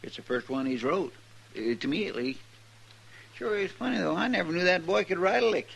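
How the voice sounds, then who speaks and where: A man's voice: sits high, expressive, brisk, creaky, fairly bright tone, husky, full character voice. An American man with a rural Western drawl playing a simple, good-natured, talkative country deputy in a 1950s radio western. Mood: pleased, wondering. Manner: folksy, rambling, drawling. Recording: noisy old radio recording.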